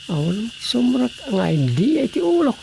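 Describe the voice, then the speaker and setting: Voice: medium pitch, big pitch swings, normal speed, vocal fry, warm tone. An actor playing a pensive writer stuck for ideas in a radio drama, with faint noise behind. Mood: pensive, frustrated.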